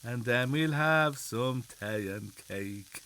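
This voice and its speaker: Charming older Irish husband. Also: male voice, mid-range voice, takes it slowly, pushed and bright, a little hiss or hum. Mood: playful. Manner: jokey, charming. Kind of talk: radio drama acting.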